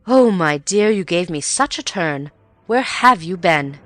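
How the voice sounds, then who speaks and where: Woman doing older aunt, fretful, dramatic, wide-swinging pitch, storybook. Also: expressive, unhurried, no room sound, faint music behind.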